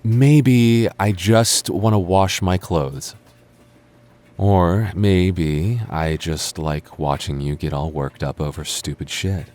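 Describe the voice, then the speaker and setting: A man's voice: deep voice, slow, darkish tone. A young man acting as a sarcastic, teasing and stubborn childhood friend in a naturalistic boyfriend audio roleplay. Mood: irritated bickering. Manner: teasing, sarcastic, stammers when flustered. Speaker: voice actor.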